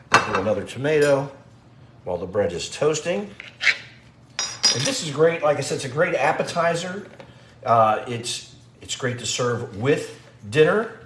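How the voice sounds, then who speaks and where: A man's voice: on the high side, a bit bright. A middle-aged man hosting a casual live cooking demo, chatting and greeting viewers by name as he works. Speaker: cooking show host. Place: home kitchen. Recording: echoey kitchen with faint noise.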